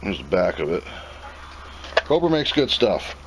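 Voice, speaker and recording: A man's voice: low-pitched, very animated, a little slow, very noisy. A plainspoken man giving an off-the-cuff, casual commentary as he reviews and unboxes a product.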